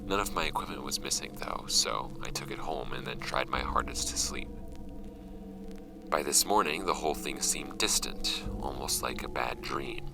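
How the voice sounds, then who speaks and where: A man as a very deep, haunted investigator. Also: music in the background. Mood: weary, uneasy. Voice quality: very low, gravelly, often breathy at phrase ends. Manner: slow-burning, grave, deliberate. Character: shaken male paranormal investigator reading his grim field log. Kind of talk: scripted horror audio drama monologue.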